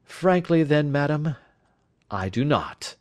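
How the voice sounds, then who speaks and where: A man's voice: on the high side, animated, slow, a little mellow, voice pushed up. A man playing an assured, courteous detective in an audiobook, speaking with precision. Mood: guarded. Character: brisk, assured male detective. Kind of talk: audiobook character voice.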